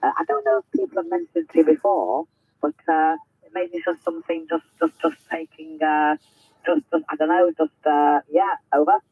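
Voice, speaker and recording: Lively-voiced man in his fifties on ham radio. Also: a little high, normal speed, husky, no room sound, poor audio. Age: 50s. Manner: chatty, hesitant, full of restarts. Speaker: amateur radio operator. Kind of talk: ham radio contact chat.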